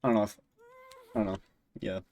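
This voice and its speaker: A man's voice: quick pace, a little mellow. A man with a Scottish accent, chatting casually on a stream, rambling and laughing often. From Scotland. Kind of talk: casual chat while gaming and reading chat.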